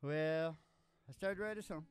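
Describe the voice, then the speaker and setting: A man's voice: a little high, normal speed, smooth, no room sound. An American man in his sixties hosting in a folksy, rambling, theatrical old-time showman way, close on a stage microphone. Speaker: host and singer of a country music show. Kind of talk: folksy rambling stage patter over guitar. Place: small curtained studio, seen indoors. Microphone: stand microphone, close. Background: acoustic guitar.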